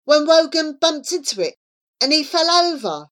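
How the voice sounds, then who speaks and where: A woman's voice: sits high, big pitch swings, normal speed, pitched above the performer's own voice. A woman voicing a bold, matter-of-fact young schoolgirl with an earnest, direct manner in a children's story.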